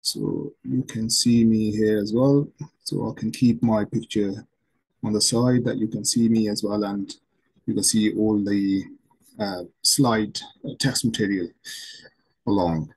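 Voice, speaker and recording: A middle-aged man from Pakistan with a Pakistani accent, a native Urdu speaker, gives an online English lesson from a home room, explaining slowly and repeating himself. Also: on the low side, fairly even intonation, darkish tone, husky, poor audio. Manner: slow, repetitive explaining with many pauses. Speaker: English teacher. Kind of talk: online lecture to camera. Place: home room with plants and shelves.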